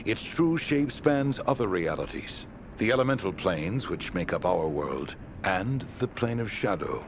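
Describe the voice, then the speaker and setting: Fantasy game informant: lowish pitch, secretive, noble, serious and deliberate explainer, audible background noise. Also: male voice, sing-song, creaky, full character voice. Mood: grave, earnest. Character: secretive, earnest member of a noble secret order. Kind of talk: video game exposition dialogue. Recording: noisy game capture.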